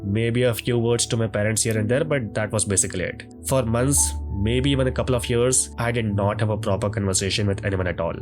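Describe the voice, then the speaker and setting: A man whose first language is not English, narrating a scripted speaking tutorial in a measured, deliberate way, his nose blocked from a cold. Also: on the low side, music in the background. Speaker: speaking coach.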